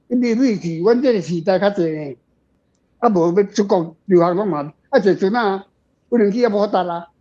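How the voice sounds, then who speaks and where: A Taiwanese speaker with a mellow, dark tone talks casually and at length. The delivery rambles, repeating phrases and checking in with short questions. The sound is a little muffled and lo-fi. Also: medium pitch. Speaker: guest author answering readers' questions. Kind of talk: casual storytelling discussion.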